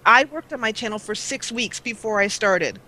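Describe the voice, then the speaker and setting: A woman's voice: on the high side, animated, normal speed, sharp tone. A young woman, a guest on an interview show, chatting casually with lots of fillers and restarts. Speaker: guest, let's player.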